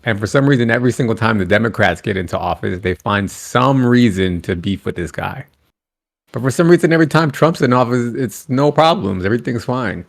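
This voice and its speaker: A man chatting on a podcast, arguing his point with lively acted-out quotes. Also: mid-range voice, fairly quick, vocal fry. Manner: animated, argues with acted quotes. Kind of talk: casual podcast chat.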